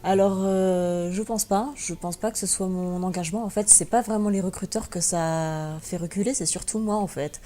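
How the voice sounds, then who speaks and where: Hesitant young woman, low mellow voice. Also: fairly even intonation, moderate pace, few pauses, slight background noise. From France. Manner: hesitant, many fillers and restarts. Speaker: guest blogger and teacher. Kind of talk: interview answers.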